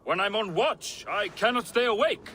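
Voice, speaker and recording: An actor playing an anxious, earnest young Viking sentry with a husky voice. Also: male voice, sits high, animated, moderate pace, sharp tone, a little hiss or hum. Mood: worried.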